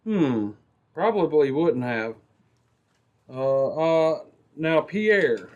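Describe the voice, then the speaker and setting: A man playing a calm, methodical police sergeant in an old-time radio drama, with a measured, questioning manner. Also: a little high, exaggerated voice. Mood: calm, probing.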